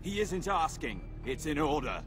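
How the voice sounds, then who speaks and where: An actor voicing an insistent soldier in a video game, curt and pressing. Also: male voice, fairly high pitch, sing-song, normal speed. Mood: impatient. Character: insistent male soldier delivering orders. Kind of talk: video game character acting. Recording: music behind the voice. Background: music.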